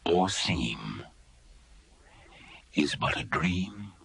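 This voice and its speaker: An actor playing a haunted, tormented Gothic horror narrator, reciting dramatically and solemnly in an audio drama. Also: male voice, rumbling low, big pitch swings, vocal fry, exaggerated voice. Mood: brooding. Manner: slow, solemn, swelling into frenzy. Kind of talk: theatrical recitation of Gothic poems and tales. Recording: loud music under the voice.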